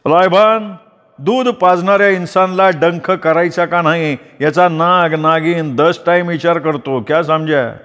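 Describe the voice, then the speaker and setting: Menacing male snake-catcher played by a man, high, mocking, slow, with long hall reverb. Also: steady tone, fairly bright tone. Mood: taunting. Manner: taunting, slow and drawn-out.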